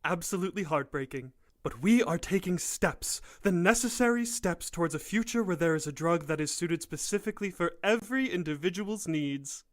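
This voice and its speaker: Man playing a smooth, persuasive professor. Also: sits high, animated, moderate pace, few pauses. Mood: proud, eager. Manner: polite, coaxing, salesman-like. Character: smooth-talking, persuasive male professor running a research lab. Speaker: voice actor in an audio drama. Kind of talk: audio drama acting.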